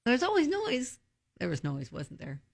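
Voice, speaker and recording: A woman's voice: on the high side, wildly swooping pitch, normal speed, gravelly, dry recording, studio quality. A woman co-hosting a radio show, casually bantering, reacting to her partner and putting questions to him.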